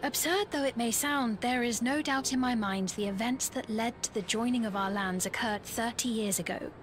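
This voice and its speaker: An actress playing a gracious, composed queen in a video game, speaking in a measured, formal manner. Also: on the high side, natural voice. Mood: calm, explanatory. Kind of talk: scripted video game cutscene acting. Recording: orchestral game music behind.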